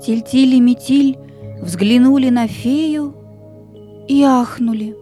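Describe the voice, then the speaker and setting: A woman narrator reading a scripted fairy tale in an expressive, deliberate storytelling manner. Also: sits high, soft background music.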